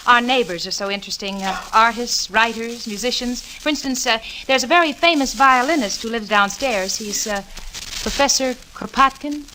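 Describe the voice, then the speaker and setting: A woman's voice: a little high, normal speed, pushed and bright. An actress in her twenties playing a level-headed but anxious, exasperated roommate in a 1940s radio comedy, with a wry, flustered delivery. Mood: flustered politeness. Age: 20s. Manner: wry, flustered, stammering under stress. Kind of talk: 1940s radio sitcom acting and narration. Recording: hissy, crackly 1940s radio recording.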